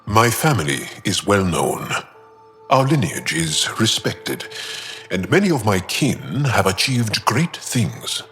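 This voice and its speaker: Earnest, unsure alien fighter for a video game: deep, unhurried, widely swinging intonation. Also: male voice, very animated, fairly bright tone, faint music behind, exaggerated voice. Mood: vulnerable, confessing. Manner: halting, earnest, deliberate. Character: earnest, self-doubting young male alien warrior, gentle. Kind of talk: video game character acting.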